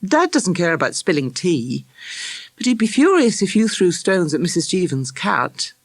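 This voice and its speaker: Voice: mid-range voice, sing-song, normal speed, no room sound, pitched above the performer's own voice. Reader playing easygoing mother, woman, light, matter-of-fact, storybook dialogue, dry recording. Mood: light, breezy. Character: easygoing, perceptive mother of a young boy.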